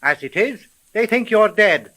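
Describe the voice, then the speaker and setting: A man playing a wisecracking, commanding homicide sergeant in a 1930s radio drama, with colloquial, brisk speech. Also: high voice, lively intonation, a bit bright. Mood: wry, firm. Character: gruff, wisecracking male police homicide sergeant.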